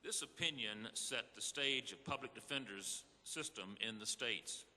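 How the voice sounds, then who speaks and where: A man playing an earnest, formal Supreme Court justice in a scripted, deliberate, oratorical reading for a live re-enactment. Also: medium pitch, bright, forceful tone. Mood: earnest, emphatic. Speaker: reader in a readers theater re-enactment. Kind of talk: scripted dramatic reading to an audience. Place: large hall before an audience. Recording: echoey hall with long reverb.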